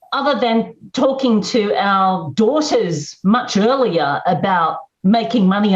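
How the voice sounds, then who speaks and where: Roomy, measured woman moderating a panel. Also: medium pitch, darkish tone, highs cut off. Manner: measured, deliberate delivery with slow emphatic phrases.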